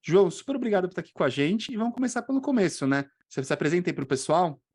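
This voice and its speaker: A man's voice: sits high, very animated, very fast, a little mellow, slightly rough. A man with a Brazilian Portuguese accent presenting a podcast in a conversational style. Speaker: podcast host, orthopedic doctor.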